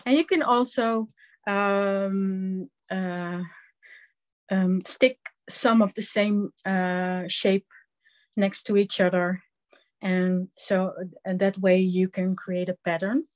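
Slow, hesitant Dutch-accented woman teaching crafts. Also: mid-range voice, steady tone. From Netherlands. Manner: slow, halting, many ums, hands-on explaining. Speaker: craft instructor. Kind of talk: live online craft class demonstration.

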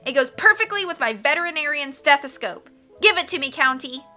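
A woman voicing a spoilt, nasty little girl who demands and taunts in a shrill storybook voice. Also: animated, fairly quick, bright, forceful tone, faint music behind, heavily acted. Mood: demanding.

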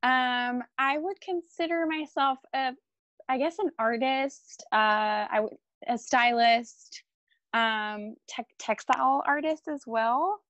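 A woman's voice: sits high, slow, a bit bright, no echo, dull audio. Chatty young woman on a video call. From France. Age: young adult. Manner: chatty, full of ums, laughs often. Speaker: guest. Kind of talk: casual video-call interview. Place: home room with art on the wall. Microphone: laptop or phone camera on a video call.

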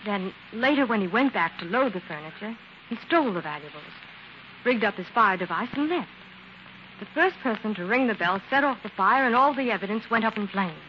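A woman's voice: mid-range voice, animated, fairly quick, close to an everyday voice. Casting: actress for keen, questioning woman assistant in 1940s radio mystery, mid-range voice, slight hiss. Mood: curious, keen. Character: inquisitive, keen female detective's assistant. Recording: dull, hissy 1940s radio recording.

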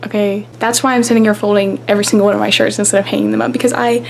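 A woman's voice: mid-range voice, flat delivery, very fast, gravelly, a little hiss or hum. A young woman chatting to her viewers in a casual vlog, giggly and talkative, recorded on a handheld camera in a small bedroom. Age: young adult. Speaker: vlogger.

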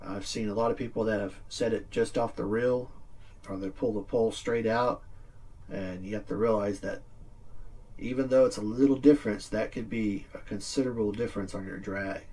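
A middle-aged man with a lowish, rough-edged husky voice presents a how-to video. He explains things informally and plainly, like a hobbyist at a table. The microphone sits a little way off from him. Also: steady tone, normal speed, warm tone, far from the mic. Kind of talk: casual instructional demonstration. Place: small indoor room with green screen backdrop.

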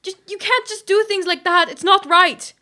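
A woman playing a gentle, principled elven market trader in an audio drama, with an earnest, hesitant manner. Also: very high voice, fairly even intonation, normal speed. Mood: upset, reproachful.

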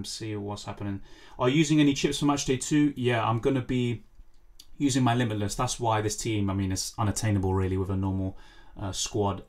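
A man with a hoarse, lost voice, casually chatting on a live stream and answering viewer questions over a faulty microphone. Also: on the low side. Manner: apologetic, rambling, answers chat questions. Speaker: fantasy football streamer. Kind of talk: casual live stream chat, reading comments.

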